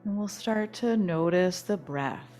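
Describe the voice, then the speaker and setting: A woman teaching yoga nidra, leading a guided relaxation meditation in a soothing, gentle, unhurried manner. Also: lowish voice, animated, soft background music.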